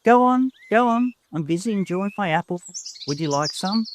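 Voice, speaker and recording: A man voicing a teasing, bossy young girl cousin in a storybook, playful and animated. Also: high-pitched, normal speed, slight background noise, voice pushed up.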